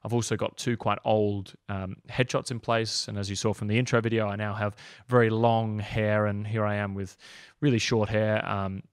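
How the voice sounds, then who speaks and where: Man, 30s, casual coach read, lowish voice, dry close sound, loose with filler words. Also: level tone, moderate pace, clear, resonant tone, dry recording. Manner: casual and upbeat, many ums. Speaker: acting coach. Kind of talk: online tutorial, screen walkthrough.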